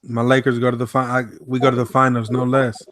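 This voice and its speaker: A man hosting a casual boxing podcast, laid-back and tipsy, talking in a slow, mellow way. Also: mid-range voice, monotone, no room sound. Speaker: podcast host. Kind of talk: casual chat.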